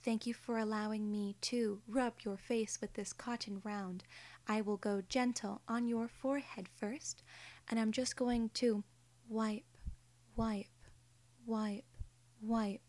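Clinical female alien examiner acted by a young woman, ASMR role-play: unhurried, deliberate, soothing, fairly high voice. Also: steady tone. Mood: polite, gentle. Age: young adult. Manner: soft-spoken, formal, deliberate, soothing. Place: small indoor room, seated.

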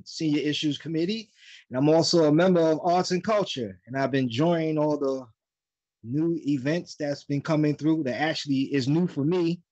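An older man introducing himself at a community meeting on a video call, slow and halting with many ums. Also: on the high side, darkish tone, no room sound. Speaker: committee co-chair. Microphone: video call, phone or laptop camera. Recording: dull lo-fi call audio.

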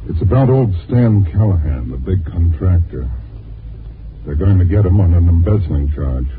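Shady American gumshoe, male, very low voice, insistent, muffled vintage radio play. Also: lively intonation, moderate pace. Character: shady, insistent male private detective selling a tip. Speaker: actor in a radio drama. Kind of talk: 1950s American radio drama acting. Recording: muffled, noisy old 1950s radio recording.

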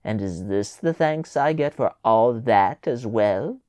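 A man reading a storybook voices a stern, furious mother scolding her daughter, loud and emphatic. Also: mid-range voice, lively intonation, takes it slowly, no echo. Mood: reproachful.

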